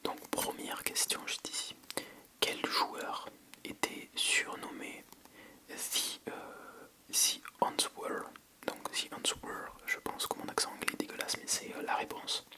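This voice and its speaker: A French ASMR creator speaking entirely in a soft, breathy whisper. The delivery is casual and unscripted, with a hesitant habit of thinking aloud. It sounds intimate and close to the microphone. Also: slow. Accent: French from France.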